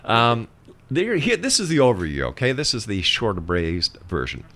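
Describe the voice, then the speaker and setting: A man's voice: mid-range voice, sing-song, normal speed, fairly bright tone. A man hosting a radio interview, explaining things emphatically in a conversational way and at times reading out facts.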